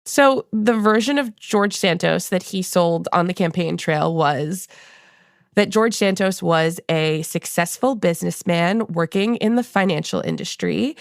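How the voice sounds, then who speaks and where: A woman's voice: fairly low pitch, big pitch swings, measured pace, dry recording, studio quality. An American woman from Long Island, a podcast producer, telling a reported story in a conversational narrative style. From Long Island, New York, United States.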